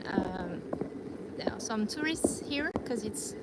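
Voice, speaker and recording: A woman walking outdoors on busy streets, chatting casually on a live stream and often pausing to search for words. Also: medium pitch, animated. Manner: hesitant, searching for words, light laughs. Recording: loud street noise.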